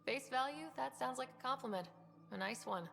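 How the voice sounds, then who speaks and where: A young space captain from a game, casual n wry, bit of fry in the voice, music behind. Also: female voice, high-pitched, fairly quick, fairly bright tone, in a large hall. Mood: warm, playful. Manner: casual, dry, direct. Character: confident, wry young female space expedition leader. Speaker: starship commander. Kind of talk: video game dialogue.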